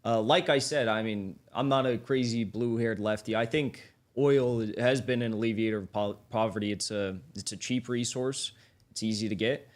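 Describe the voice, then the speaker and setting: A man with a somewhat low voice speaks as a guest on a livestream. He argues politics haltingly and often restarts his phrases.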